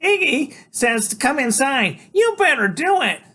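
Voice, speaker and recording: A storybook biker's girlfriend, played by a man, very high-pitched and loud. The woman squints, nags and frets, drawling rough slang out of the side of the mouth. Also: sing-song, unhurried, full character voice. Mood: insistent.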